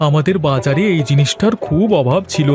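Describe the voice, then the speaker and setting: Man voicing a high-pitched, friendly village butcher. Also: brisk, music in the background, lightly acted. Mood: cheerful, admiring. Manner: chatty, exclaiming. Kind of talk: Bengali animated moral story acting.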